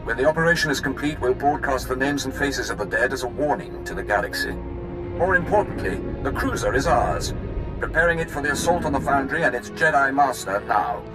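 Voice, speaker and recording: An actor playing a dutiful, proud Imperial fleet commander in a video game, speaking in a crisp, formal military manner. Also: male voice, mid-range voice, expressive, a little fast, over music, close to an everyday voice, low-quality recording. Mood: grim satisfaction. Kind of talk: dramatic video game acting.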